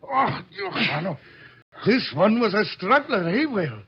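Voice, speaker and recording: An actor playing a boastful, brutish army deserter who gloats over killing, in a 1950s radio drama. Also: male voice, high-pitched, big pitch swings, a little slow, gravelly, fairly bright tone, slight background noise, full character voice. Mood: gloating. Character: cocky, cheerful, brutish deserter, eager hired killer. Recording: muffled vintage radio recording.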